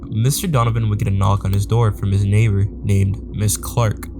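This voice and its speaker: A man giving a scripted explainer narration as the narrator of a video essay. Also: on the low side. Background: loud music.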